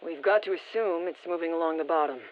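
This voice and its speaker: A woman's voice: lowish voice, quick pace. An actress playing a female field operative in a video game, giving matter-of-fact intel reports through a radio filter. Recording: muffled, band-limited radio transmission.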